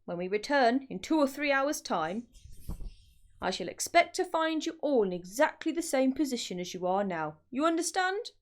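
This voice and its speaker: A woman's voice: high-pitched, very animated, moderate pace, voice pushed up. A woman voicing a nasty, bullying man from a children's storybook, barking like a fearsome trainer. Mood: barking orders. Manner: barking, shouted commands and threats. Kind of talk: children's storybook read-aloud.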